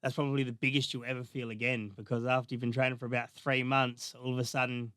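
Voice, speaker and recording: A bodybuilder, talking casually in an interview, laid-back with frequent fillers. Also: male voice, mid-range voice, level tone, quick pace, sharp tone, clean tone, no room sound, clean studio sound. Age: 30s. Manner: laid-back, lots of fillers like "you know" and "man".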